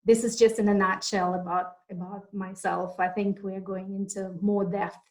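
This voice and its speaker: A woman's voice: medium pitch, little pitch movement, darkish tone, rough recording. Hesitant woman from Kazakhstan. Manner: halting, with many fillers and restarts. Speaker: interviewee, mother and online entrepreneur. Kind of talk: casual video chat interview.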